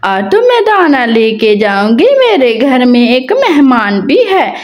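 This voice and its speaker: A woman voicing a kind, selfless little female bird in a cartoon story, speaking in a gentle, caring way. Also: high-pitched, big pitch swings, slow pace, heavily acted. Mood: determined.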